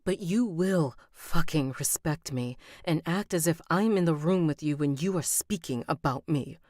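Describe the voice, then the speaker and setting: A woman's voice: low-pitched, measured pace, darkish tone, no room sound, voice pushed up. A woman voicing a furious young heroine held captive, who swears and is close to tears with anger. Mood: seething. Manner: seething, swearing, near tears. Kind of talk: rehearsing an audiobook reading on a livestream.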